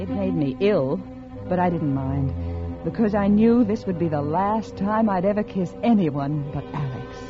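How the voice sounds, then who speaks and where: An actress as a calculating, unfaithful wife in a vintage radio thriller. Her voice swings widely in pitch, with melodramatic emphasis and pauses. The old recording is dull, with music behind it. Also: natural voice. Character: scheming, calculating unfaithful wife plotting her husband's murder. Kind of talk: 1950s radio suspense acting with confiding narration.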